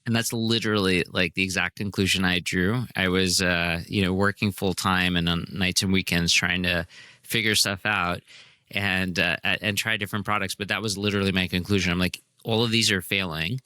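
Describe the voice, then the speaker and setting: A man hosting a podcast, with a low voice that has a very sharp, bright edge. He speaks eagerly and often trips over and repeats his words. The sound is dry and close. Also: steady tone, normal speed, clean tone, dry recording. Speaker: podcast host. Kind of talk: casual interview talk.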